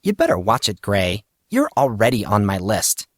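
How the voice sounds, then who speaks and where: A man's voice: fairly low pitch, huge pitch swings, normal speed, no room sound, clean studio sound. A man voicing an irritable, sneering teenage rich girl with a snappy, dismissive manner in an audio story.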